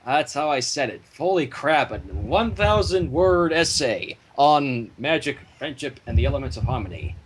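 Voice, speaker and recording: A man reading a story theatrically on a livestream, breaking off into casual banter, with a husky voice. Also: medium pitch, big pitch swings, moderate pace, hardly any pauses, slight background noise, rough recording. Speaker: fan fiction reader and livestream host.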